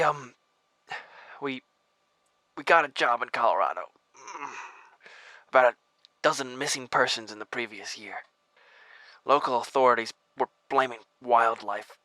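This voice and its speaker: An injured, exhausted former agent, played by a man, who pushes out his words in strained, halting bursts. His voice is bright and close on a dry mic. Sighs and breathy whispers slip in between his lines. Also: medium pitch, no room sound. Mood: weary. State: injured, weak and short of breath. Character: wounded, bleeding man, weary former federal agent. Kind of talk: audio drama acting.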